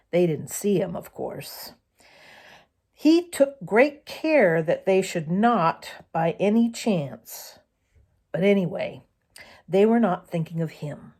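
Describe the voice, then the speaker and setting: Slow-paced woman reading a children's story. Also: fairly low pitch, a little mellow. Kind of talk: expressive children's story narration.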